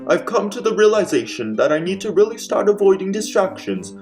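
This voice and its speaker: A man playing an excitable, bossy mad scientist in an old-time radio comedy mystery. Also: high voice, fairly quick, soft background music, voice pushed up. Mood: grumbling. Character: male mad scientist Doctor Frankengrain, excitable, bossy procrastinator.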